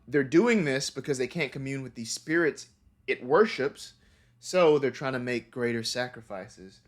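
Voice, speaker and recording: Man, co-host, mid pitch, relaxed rambling chat. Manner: relaxed, rambling, lots of fillers. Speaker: podcast co-host. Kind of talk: casual chat.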